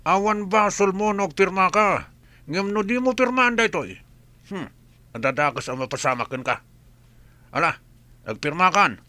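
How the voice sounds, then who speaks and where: A man's voice: sits high, lively intonation, moderate pace, pauses often, pushed and bright, no room sound. A man with an Ilocano accent playing a menacing masked thug in an audio drama. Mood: threatening. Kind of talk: scripted audio drama acting.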